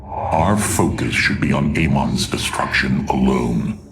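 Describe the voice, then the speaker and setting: A man's voice: very deep voice, takes it slowly, a crowd in the background, full character voice. An actor voicing a haughty, sardonic male alien warlord in a dramatic video game, with theatrical disdain. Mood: scornful. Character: scornful, haughty male alien warlord counseling ruthless destruction.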